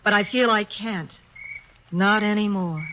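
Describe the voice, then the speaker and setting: A woman's voice: medium pitch, a little slow, heavy background noise, close to an everyday voice. An actress playing a young woman firmly confronting her fiancé in an old radio drama. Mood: hurt. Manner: reproachful, firm. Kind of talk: 1940s radio acting. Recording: dull old radio broadcast.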